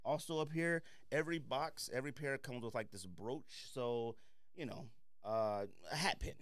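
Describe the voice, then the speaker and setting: A man's voice: mid-range voice, moderate pace, no echo. A man hosting a podcast, giving casual sneaker reviews in an opinionated, slangy way and repeating points for emphasis. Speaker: podcast host.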